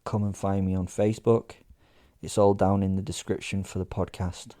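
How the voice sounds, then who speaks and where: A man's voice: deep voice, level tone, moderate pace, warm tone, dry recording, clean studio sound. A man narrating a first-person horror comedy story as a podcast host, with wry, conversational asides.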